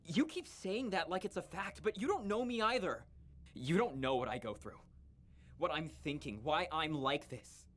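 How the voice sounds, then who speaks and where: A man's voice: a little high, lively intonation, normal speed, a bit bright, slight background noise. A man playing an earnest, defensive, relentlessly upbeat boy in a visual novel, easily wounded.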